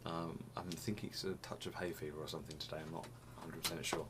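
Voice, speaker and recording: A young man with a very deep, low voice talks loosely to the camera, circling back and repeating himself as he goes. He sounds a little sniffly. It is recorded on a desk camera in a small room at home, with a faint hiss behind him. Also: steady tone, fast, fairly bright tone. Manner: rambling, restarts phrases, laughs often. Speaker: vlogger. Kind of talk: casual chat to camera.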